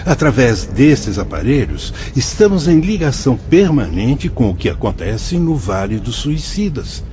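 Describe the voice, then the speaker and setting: A calm, wise spirit instructor. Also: male voice, mid-range voice, slight background noise. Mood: patient, instructive. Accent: Brazilian Portuguese. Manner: measured, patient and explanatory. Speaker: spirit guide explaining the colony. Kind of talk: radio drama acting. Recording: dull, muffled sound.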